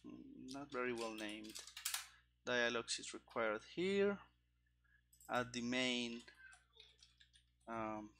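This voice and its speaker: A man in his thirties thinking aloud as he codes on a stream, halting and muttering to himself into a headset microphone, with keyboard clicks behind him. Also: mid-range voice, bright, forceful tone, a little hiss or hum. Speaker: programmer streaming game development. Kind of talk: thinking aloud while live coding. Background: keyboard typing and clicking.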